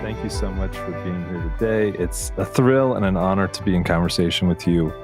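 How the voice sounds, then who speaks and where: Low-voiced man hosting a reflective podcast. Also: fairly quick, music in the background. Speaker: podcast host. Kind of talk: reflective podcast interview with scripted intro.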